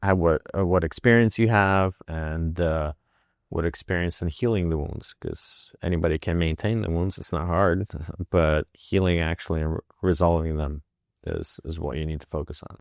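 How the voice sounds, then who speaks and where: A man in his forties with a very low voice. He speaks as an interview guest, with halting phrasing, fillers and restarts. He is recorded dry and close, with no room sound. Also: fairly even intonation, dry recording. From Russia. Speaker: wound care physician.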